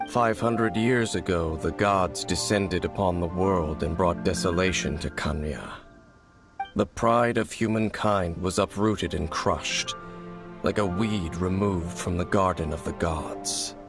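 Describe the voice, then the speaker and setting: A grave, formal knight. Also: male voice, low voice, measured pace, music in the background. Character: solemn male knight, grave keeper of ancient secrets. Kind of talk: video game voice acting.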